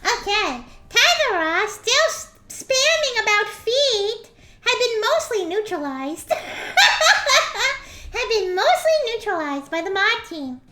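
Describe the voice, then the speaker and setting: A woman streamer with a pitch-raised squeaky voice filter, casually chatting and reading a story aloud, often clearing a scratchy throat. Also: big pitch swings, slow, sharp tone. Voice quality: squeaky voice from a slime avatar filter. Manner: giggly, reads story text aloud with voiced quotes.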